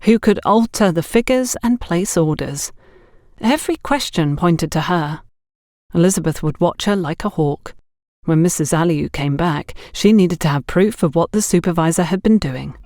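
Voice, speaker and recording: A woman's voice: lowish voice, normal speed, darkish tone, dry recording, studio quality. A woman reading scripted mystery fiction as an audiobook narrator.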